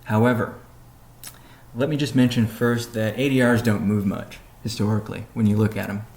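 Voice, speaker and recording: A man giving a measured, businesslike update on a conference call, pausing between phrases as he reads out points and answers questions. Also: on the low side, flat delivery, darkish tone. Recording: noisy conference call audio.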